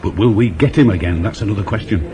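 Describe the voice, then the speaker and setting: An actor playing a gruff, sardonic older police inspector near retirement, in a 1980s British radio drama. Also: male voice, lowish voice, quick pace, natural voice. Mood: exasperated. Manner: sardonic, blunt, grumbling. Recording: noisy old radio broadcast tape.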